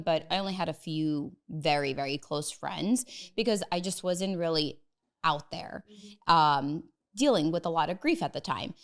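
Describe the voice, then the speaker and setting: A woman's voice: lowish voice, clear, resonant tone. A woman in her thirties whose first language is Russian, a dietitian guest on a podcast, telling her own story earnestly and thinking aloud with many fillers. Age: 30s. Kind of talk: podcast interview, personal story.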